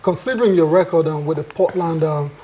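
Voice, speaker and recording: A man's voice: a little high, a little fast, dark tone, slightly rough. A man co-hosting a morning TV sports show, who asks direct, curious questions. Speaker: co-host.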